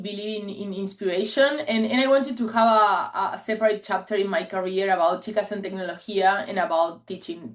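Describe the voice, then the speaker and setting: Woman, 40s, native Spanish speaker, mid-pitched; chatty, earnest presenter on a video call. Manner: earnest, chatty storytelling with frequent laughs. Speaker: guest speaker. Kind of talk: presentation to an online meeting.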